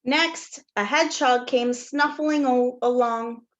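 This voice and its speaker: A woman teacher doing a story time read-aloud for young children, with simple, deliberate phrasing and a sing-song, questioning delivery. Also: sits high, highs cut off. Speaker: teacher reading a picture book to young children.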